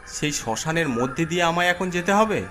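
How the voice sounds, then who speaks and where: A man voicing a weary, irritable older village doctor in a horror story, gruff and impatient. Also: a little high, animated, fairly quick, pitched above the performer's own voice, lightly acted. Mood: reluctant. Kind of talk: dramatised horror audio story. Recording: music under the voice.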